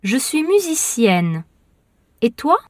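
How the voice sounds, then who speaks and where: Une femme jouant Mélanie, jeune musicienne enjouée. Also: female voice, high-pitched, big pitch swings, takes it slowly, no echo, studio quality, heavily acted. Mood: friendly. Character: young female French musician, cheerful. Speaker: voice actor in a language course dialogue. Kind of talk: slow scripted textbook dialogue.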